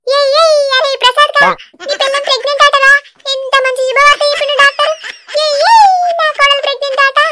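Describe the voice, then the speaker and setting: A woman voicing a fussy village mother-in-law in a cartoon, with a Telangana Telugu accent and loud, quick, fussing talk. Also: very high-pitched, fairly even intonation. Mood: overjoyed. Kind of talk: cartoon voice acting.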